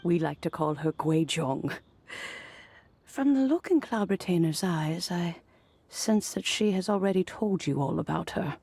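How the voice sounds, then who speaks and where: A woman voicing a wise, ancient and serene elderly immortal in a video game, talking in a measured, reflective storytelling way. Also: lowish voice, lively intonation, creaky, a little mellow, husky, full character voice. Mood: amused, reminiscing. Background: music.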